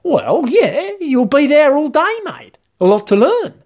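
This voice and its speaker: A narrator acts out a brash Australian man from a comic storybook. He is matey but bossy and blunt, sweary and full of slang, and his pitch moves around in a lively way. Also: measured pace. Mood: hectoring.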